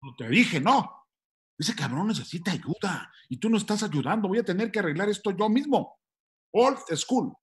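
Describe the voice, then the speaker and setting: Gruff, animated old grandfather. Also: high-pitched, brisk. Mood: stern. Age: older. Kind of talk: comedic table read acting. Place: home room on a video call. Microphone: laptop microphone.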